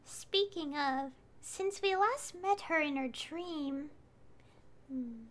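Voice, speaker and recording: A woman's voice: sits high, expressive, takes it slowly, fairly bright tone. A woman game streamer chatting casually and reading dialogue aloud in a hesitant, musing way.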